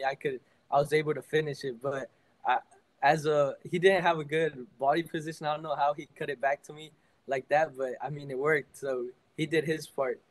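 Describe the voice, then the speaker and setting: A man's voice: fairly high pitch, rough recording. Teenage guy answering casually. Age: teens. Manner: relaxed, fillers like "like" and "I mean". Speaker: high school soccer player guest.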